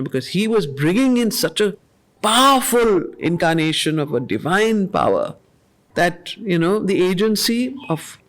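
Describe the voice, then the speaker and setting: A woman leads a study circle, reciting poetry at a very unhurried pace. She repeats key lines with weight before unpacking their spiritual meaning. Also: on the low side. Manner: slow, measured recitation, repeating lines for emphasis. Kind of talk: reading poetry aloud with spiritual commentary. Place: meeting room of a study group.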